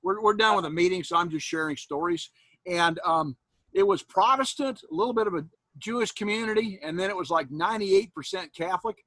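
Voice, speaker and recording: A man in his sixties from Iowa, a college instructor chatting casually with students on a video call, folksy and quick to laugh. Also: sits high, fairly bright tone, dry recording. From Iowa, USA. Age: 60s. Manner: folksy, joking, laughs often. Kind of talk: casual chat with students after an online class. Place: home, indoors, on a video call. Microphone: computer mic on a video call.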